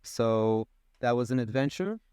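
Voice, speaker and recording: Israeli guy chatting easy on a podcast, smooth clear voice, dry close sound. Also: mid-range voice, expressive, unhurried, a little mellow, no room sound. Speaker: podcast guest, molecular biologist. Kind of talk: conversational interview.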